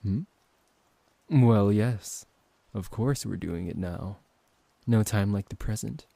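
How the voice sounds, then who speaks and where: A man acting out a smooth-talking, possessive vampire professor in an intimate ASMR roleplay, politely condescending and teasing, close to the microphone. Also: fairly low pitch, slow, mellow, dry recording, heavily acted. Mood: persuasive, polite. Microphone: close microphone, dry.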